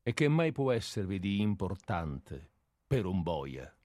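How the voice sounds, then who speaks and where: An actor in a radio play playing a grave, scornful intellectual who questions his executioner in terse, measured phrases. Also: sits low, sing-song, darkish tone, dry recording, clean studio sound, exaggerated voice. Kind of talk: radio drama dialogue.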